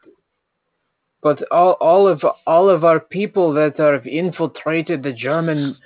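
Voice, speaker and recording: A man's voice: a little high, fairly even intonation, no echo, natural voice. A man playing an earnest Soviet factory comrade who halts and hesitates, in an improvised comedy scene over a tinny phone-like line. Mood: hesitant. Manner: hesitant, halting, many uhs.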